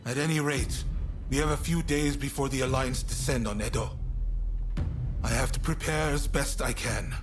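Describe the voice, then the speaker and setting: A man's voice: mid-range voice, steady tone, moderate pace, lightly acted. Man playing a frank samurai commander over music. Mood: weary, resolute. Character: frank, resolute samurai military commander, burdened but calm. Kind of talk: dramatic video game cutscene acting. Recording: music behind the voice.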